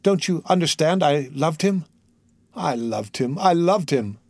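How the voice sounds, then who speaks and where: A man's voice: on the high side, animated, measured pace, a little mellow, dry recording, studio quality, voice pushed up. A man voicing a devoted, grief-stricken young woman in mourning, pleading insistently, as a character in an audiobook. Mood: insistent, anguished. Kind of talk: dramatic audiobook character reading.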